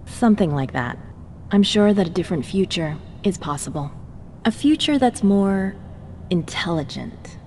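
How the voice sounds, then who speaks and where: A woman's voice: mid-range voice, lively intonation, moderate pace, a little mellow, in a large hall, slight background noise, natural voice. A woman playing a poised, ambitious researcher in a video game, polite, composed and sure of herself. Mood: self-assured. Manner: polite, composed, self-certain.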